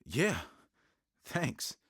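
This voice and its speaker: A man's voice: drawn-out words, fairly bright tone, no room sound, studio quality. A man playing a nervous, nerdy young banker who turns gushing and eager. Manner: flustered, then gushing.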